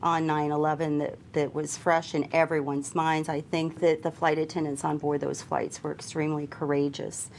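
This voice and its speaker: Low-voiced woman, measured recounting. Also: level tone, creaky, slight background noise. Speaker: flight attendant. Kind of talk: documentary interview testimony.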